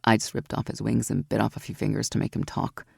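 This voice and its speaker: Dark low-voiced warrior woman, calm, protective, played by a woman, storybook. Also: quick pace, gravelly, slightly rough, no room sound, studio quality, heavily acted. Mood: reassuring.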